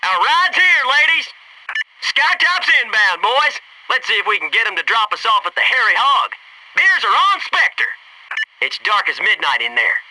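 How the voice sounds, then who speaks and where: A man's voice: high-pitched, wildly swooping pitch, normal speed, distant mic, noisy background. An actor playing a cocky, wisecracking male Navy SEAL squadmate in a military video game, talking in brash, punchy banter. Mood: joking. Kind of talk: scripted video game squad radio lines.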